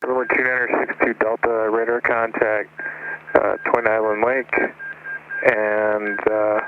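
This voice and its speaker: A man's voice: on the low side, level tone, a little slow. A man working as an air traffic controller, giving clipped instructions over aircraft radio. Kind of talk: clipped aviation radio communication. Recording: tinny, noisy aviation radio.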